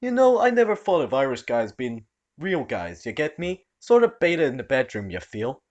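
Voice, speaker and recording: A man's voice: mid-range voice, big pitch swings, normal speed, muffled recording, lightly acted. A man voicing a crude wannabe gangster in his thirties with a put-on old-time mobster accent. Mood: smarmy.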